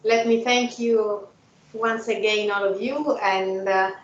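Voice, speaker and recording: A woman's voice: medium pitch, fairly even intonation, slow. A woman, an organizer, speaking politely and formally to close the panel. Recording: echoey, dull, faint noise.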